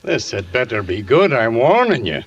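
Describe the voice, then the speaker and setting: An actor playing a blunt, impatient police sergeant in 1940s radio drama, brusque and slangy. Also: male voice, mid-range voice, very animated, normal speed, slightly rough. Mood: impatient, warning. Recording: dull old radio recording, long reverb.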